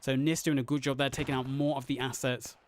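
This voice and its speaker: A man casting a live online match, his voice hoarse and worn as he narrates the action with rising excitement. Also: quick pace, a little hiss or hum. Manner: excited, builds to high pitch on big plays. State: losing his voice. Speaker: esports caster. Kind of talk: live game commentary.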